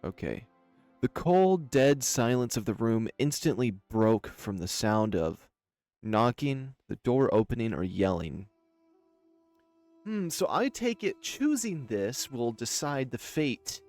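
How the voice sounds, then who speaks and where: A man's voice: mid-range voice, darkish tone. A man playing a visual novel, narrating it dramatically and commenting casually as he goes. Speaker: game streamer, narrator.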